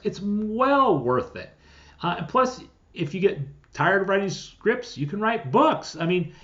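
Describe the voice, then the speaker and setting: A man reviewing software in a casual, conversational and informal way, talking as if straight to his viewers. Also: on the high side, dull audio. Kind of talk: casual scripted-outline product review.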